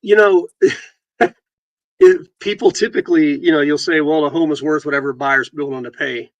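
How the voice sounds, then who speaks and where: A man co-hosting a casual real estate talk show, reading headlines aloud and joking, with halting restarts. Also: fairly even intonation, no room sound, lo-fi sound. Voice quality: deep voice. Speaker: podcast host and realtor.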